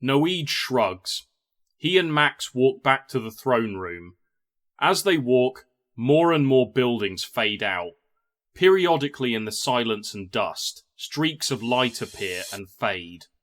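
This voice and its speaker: Slow, measured male story narrator. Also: mid-range voice, lively intonation, a bit bright.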